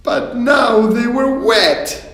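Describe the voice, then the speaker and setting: A woman narrates a children's story in a very slow, emphatic, theatrical way. Her pitch moves up and down a lot with each phrase. The sound is reverberant, like a hall. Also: lively intonation, slight background noise. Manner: theatrical, drawn-out, emphatic delivery. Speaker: storyteller reading a children's picture book. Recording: echoey hall reverb, music underneath. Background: music.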